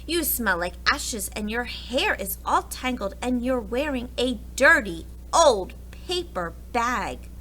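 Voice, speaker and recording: A woman's voice: on the high side, very animated, takes it slowly, few pauses, bright, forceful tone, pitched above the performer's own voice, full character voice, poor audio. A woman voicing a snobbish, unkind young prince from a storybook, in a scornful, fussy manner.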